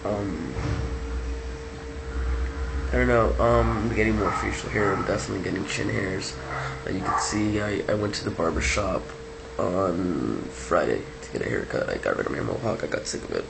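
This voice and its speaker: A man talking casually to his camera in a relaxed, rambling way with many ums, sounding like he just woke up. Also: sits low, moderate pace, creaky. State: just woke up, low-key. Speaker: vlogger. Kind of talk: casual video diary. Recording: noisy background hiss.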